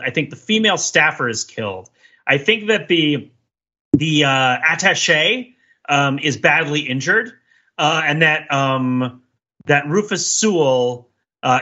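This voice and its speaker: A man's voice: on the high side, sharp tone, lo-fi sound. A man hosting a podcast, giving casual but analytical commentary on a TV show in long, emphatic, speculative stretches. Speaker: podcast host.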